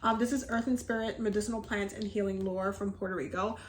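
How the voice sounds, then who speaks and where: A chatty, upbeat woman from the Dominican Republic talks casually to her viewers about her healing work. Her voice sits at an ordinary middle pitch and rings off the walls of an echoey indoor room, with a faint hum of noise underneath. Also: steady tone, fast. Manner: chatty and upbeat, with frequent fillers and laughs. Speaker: herbalism and energy healing teacher. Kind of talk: casual video chat to viewers.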